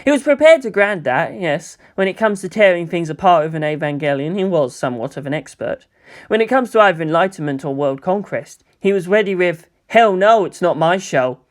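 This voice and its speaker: A man's voice: sits high, lively intonation, brisk. A man reading scripted third-person fiction as the narrator of a story.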